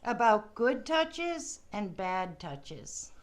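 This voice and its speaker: Puppet show character, female performer: cheerful, lively swooping pitch, exaggerated slow delivery for young children. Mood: eager, curious.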